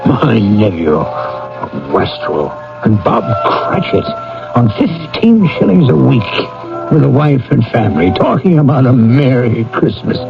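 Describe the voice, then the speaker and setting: A man's voice: mid-range voice, creaky. An actor playing a bitter, miserly old businessman in a classic radio drama, with scornful, snappish, grumbling delivery. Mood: muttering disgust. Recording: dull lo-fi old radio broadcast.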